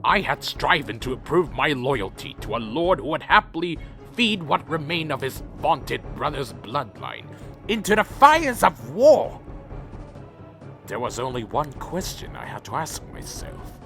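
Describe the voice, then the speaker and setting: Man voicing a proud brooding vampire lord, high-pitched. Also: very animated, unhurried, fairly bright tone, faint music behind, heavily acted. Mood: brooding, anguished. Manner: theatrical, solemn, imperious. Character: proud brooding male vampire leader of a winged clan. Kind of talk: dramatic audio drama narration and dialogue.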